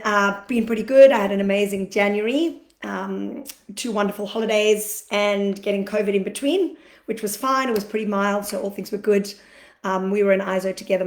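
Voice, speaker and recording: A woman from Australia hosting a live question-and-answer session as an acupuncturist, chatty, greeting viewers as they join, her voice a little croaky and clearing her throat now and then. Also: mid-range voice. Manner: chatty, warm greetings to viewers, many fillers. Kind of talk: casual livestream talk. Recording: echoey room livestream.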